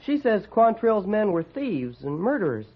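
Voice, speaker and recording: A man's voice: high voice, big pitch swings, normal speed, exaggerated voice. An actor playing an earnest, plain-spoken country boy with a rural American accent in an old-time radio western, simple and folksy. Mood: matter-of-fact. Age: child character. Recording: muffled vintage radio recording.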